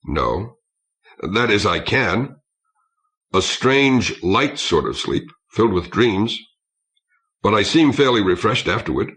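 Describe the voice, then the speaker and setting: A man voicing a tired, thoughtful woman aboard a starship in an audiobook, speaking tentatively and softly. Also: on the low side, big pitch swings, slow pace, pauses often, heavily acted. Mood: tentative, wistful.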